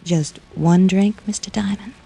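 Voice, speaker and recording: An actress playing a cool, coy American carnival dancer in old radio detective drama style, guarded and teasing. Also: female voice, mid-range voice, fairly even intonation, measured pace, gravelly. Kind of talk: 1940s-50s radio detective acting. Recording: old radio recording, faint music.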